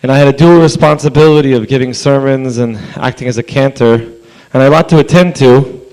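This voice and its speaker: A man with a hoarse voice, a rabbi giving an earnest, conversational public comment at a city council meeting. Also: medium pitch, brisk, darkish tone, low-quality recording. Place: council chamber.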